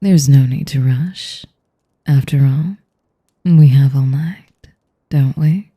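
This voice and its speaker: Vampire noblewoman, played by a woman for audio roleplay: sadistic, seductive, formal, dark velvety low register, hushed breathy delivery, dry close sound. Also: dry recording, heavily acted. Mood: seductive, teasing. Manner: slow, formal, teasing, savoring each phrase. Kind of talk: intimate audio roleplay addressed to the listener.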